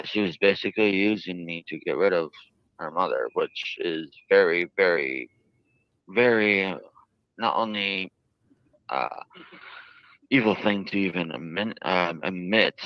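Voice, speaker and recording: A man giving unscripted interview answers in a halting, earnest, wordy way, often repeating himself, on muffled audio. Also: sits low, no room sound. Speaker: jailed interviewee. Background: played within a live stream.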